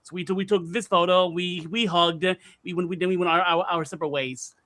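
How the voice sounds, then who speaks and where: A man with a loud projecting voice telling stories excitedly in casual chat, often stammering and repeating words. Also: sits high, a little fast. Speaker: podcast panelist recounting a convention trip.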